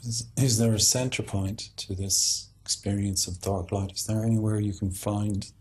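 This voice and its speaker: A man leading a guided meditation as a teacher, speaking in an unhurried, halting way with long pauses. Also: on the low side, level tone, darkish tone, slightly rough.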